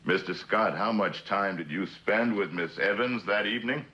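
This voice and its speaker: A shrewd prosecutor from a 1960s television drama. His voice sits very low, with a bright, forceful edge. He speaks formally and presses each point with skeptical precision. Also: normal speed, slightly rough, dull audio. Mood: probing. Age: middle-aged. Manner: formal, probing, pointed questioning. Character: shrewd, skeptical middle-aged male district attorney. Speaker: actor as district attorney.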